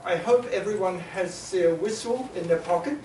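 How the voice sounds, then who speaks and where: A man from New Zealand moderating a conference panel discussion, summarizing points with many pauses and filler sounds. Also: sits high, mellow, a little hiss or hum. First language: Maori. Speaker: panel facilitator. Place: conference hall. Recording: echoey hall, mic at a distance.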